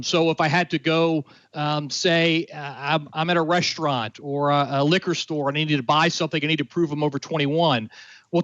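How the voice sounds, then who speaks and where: A man with a somewhat high voice explains ideas in an earnest way, stumbling through fillers and restarts. His sound is dry and close, with a dull, lo-fi tone. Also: a bit bright, no room sound. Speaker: guest expert. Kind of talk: explanatory conversation.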